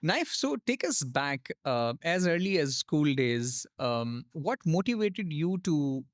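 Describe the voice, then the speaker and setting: A man speaks as the host of an interview show. His voice sits a little higher than average for a man, and it is recorded dry. He presents and asks questions in a prepared hosting style. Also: big pitch swings, slow, dry recording.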